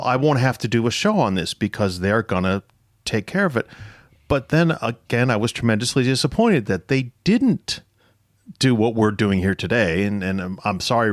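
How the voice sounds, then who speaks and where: A man's voice: fairly low pitch, no room sound, clean studio sound. A man hosting a history podcast, interviewing conversationally in a wordy, professorial but informal way, often interrupting himself.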